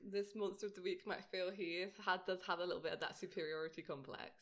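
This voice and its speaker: A woman's voice: fairly low pitch, steady tone, fast talker, a bit bright. A woman chatting informally about television as the co-host of a podcast. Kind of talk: casual TV discussion chat.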